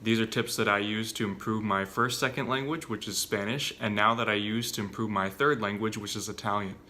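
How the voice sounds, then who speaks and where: A man's voice: on the low side, fairly even intonation, brisk, sharp tone, a little hiss or hum. A young man earnestly explaining language learning tips straight to his viewers, talking to them as "you guys". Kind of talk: direct-to-camera tips video.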